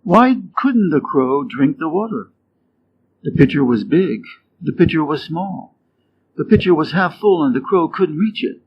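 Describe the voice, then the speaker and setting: An American man from the Midwest reading short fables and quiz questions clearly and deliberately for English learners. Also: a little high, expressive. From Wisconsin, USA. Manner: clear, deliberate, rising quiz intonation on answer choices. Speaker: English teacher reading stories. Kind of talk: slow scripted storybook reading for learners. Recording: dull lo-fi sound with faint noise.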